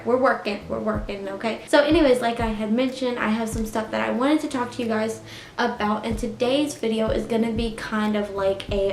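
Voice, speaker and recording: A woman in her late twenties talking casually to her viewers, full of "like" and "you know". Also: fairly high pitch. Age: 20s. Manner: chatty, heavy use of "like" and "you know". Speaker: YouTuber. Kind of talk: casual storytime vlog. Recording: echoey room.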